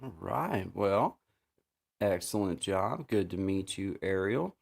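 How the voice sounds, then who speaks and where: A man hosting a livestream, chatting casually and rambling, often repeating himself and filling pauses. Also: low-pitched, animated, creaky. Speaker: livestream host.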